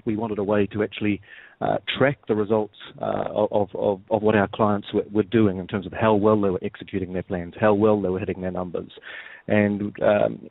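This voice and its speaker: A man with a New Zealand accent, a guest on a radio interview, explaining things in a measured way and often repeating words as he starts a sentence. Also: on the low side, dry recording. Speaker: guest, software firm executive. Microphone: phone. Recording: tinny phone line.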